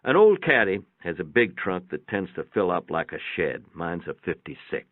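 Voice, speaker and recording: A man's voice: deep voice, big pitch swings, normal speed. A man reading a first-person short story aloud in a folksy, plainspoken storytelling manner. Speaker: narrator. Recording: boxy room echo, tinny phone-like sound.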